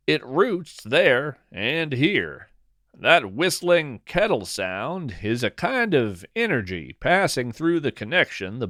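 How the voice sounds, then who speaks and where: A man narrating a scripted first-person story as a podcast host, in a whimsical, deliberate and dryly playful manner. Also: mid-range voice, a bit bright, no room sound, clean studio sound.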